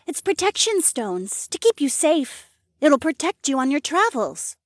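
A woman playing a grateful, gentle and earnest young townswoman in an audiobook story. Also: sits high, very animated, a little slow, dry recording, studio quality. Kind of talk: audiobook character dialogue.

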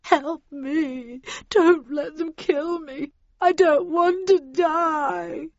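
Woman as a wailing, high-pitched cowardly man. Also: fairly even intonation, very slow, no echo, muffled recording. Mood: terrified. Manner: pleading, wailing. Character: cowardly, whimpering man terrified for his life.

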